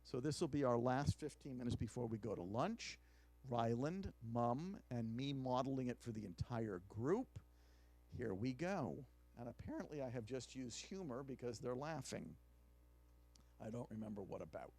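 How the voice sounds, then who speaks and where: A man's voice: mid-range voice, animated, moderate pace, darkish tone. A middle-aged male clinical psychologist giving a conversational workshop lecture into a handheld mic in a conference room, now and then asking the audience questions.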